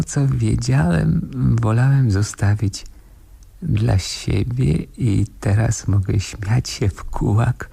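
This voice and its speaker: Wry male lawyer narrator, husky voice, slow pace, literary, 1970s radio drama. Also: medium pitch, darkish tone, heavily acted. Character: wry male defence lawyer recalling a strange case.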